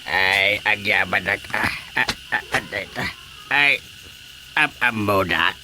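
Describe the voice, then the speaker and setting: A man playing a feeble, confused old village man with an Ilocano accent and a halting, stammering delivery in a radio comedy-drama. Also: lowish voice, animated, noisy background. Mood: rambling, hesitant.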